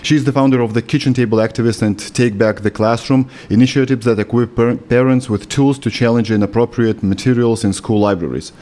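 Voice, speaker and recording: A man with a Lithuanian accent hosts an interview in English, his second language. He talks at an ordinary pitch for a man, picking his words with care and sometimes stopping to rephrase. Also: fairly even intonation, warm tone. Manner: careful, slightly halting non-native English. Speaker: host.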